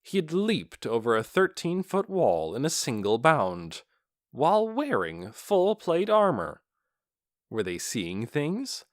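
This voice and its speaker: A man's voice: on the high side, wildly swooping pitch, takes it slowly, dry recording, clean studio sound. A man reading scripted fantasy fiction as a dramatic audiobook narrator.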